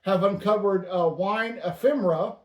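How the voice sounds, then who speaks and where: A man hosting a casual stamp-collecting show, with dry, deadpan banter. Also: sits high, moderate pace, reverberant, low-quality recording. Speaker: host.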